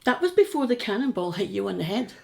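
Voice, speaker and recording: An actress playing a sarcastic, exasperated middle-aged British wife, practical and sceptical, in a radio play. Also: female voice, medium pitch, sing-song, fast talker, gravelly, darkish tone. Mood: dry, sarcastic.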